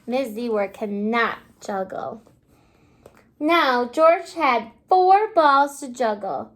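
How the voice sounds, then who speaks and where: A woman kindergarten teacher reading a storybook aloud to young children in an exaggerated, child-directed way, pausing to ask simple questions. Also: on the high side, animated. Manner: asks simple questions, pausing as if listeners answer.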